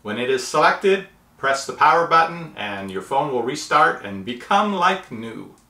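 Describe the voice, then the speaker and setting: A man's voice: a little high, big pitch swings, a little slow, bright, forceful tone. A man in his fifties hosting a tech tutorial, explaining each step methodically in a small room. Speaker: host of a tech tutorial channel. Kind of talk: step-by-step how-to instruction. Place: small indoor room, seated at a table. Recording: roomy indoor echo.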